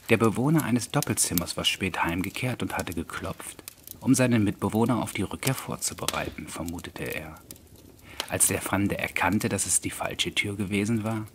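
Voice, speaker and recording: A man's voice: fairly low pitch, fairly bright tone, slight background noise. A man reading an eerie literary story as an audiobook narrator, in scripted prose.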